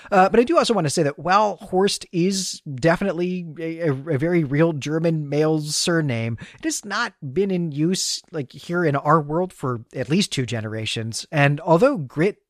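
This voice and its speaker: A man's voice: fairly high pitch, no room sound, studio quality. A man co-hosting a podcast, talking through a story in a conversational recap with chatty asides and tag questions. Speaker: podcast co-host. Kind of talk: conversational literary recap and commentary.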